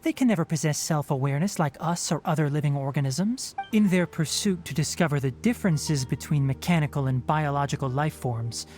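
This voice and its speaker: A man plays a young, fox-eared forest ranger from a video game. He speaks in measured, articulate phrases with a thoughtful, matter-of-fact manner. Music plays softly behind him. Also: a little high, lightly acted. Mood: earnest, reflective. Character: thoughtful young male forest ranger with animal ears and tail. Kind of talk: video game character dialogue. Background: background music.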